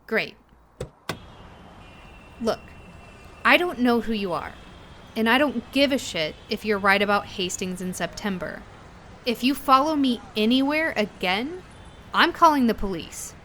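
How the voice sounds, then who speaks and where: A woman's voice: fairly high pitch, lively intonation, unhurried, long pauses, fairly bright tone, slight background noise. An actress in an audio drama playing a weary, distrustful and defiant young woman passenger. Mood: angry, dismissive. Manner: wary, confrontational.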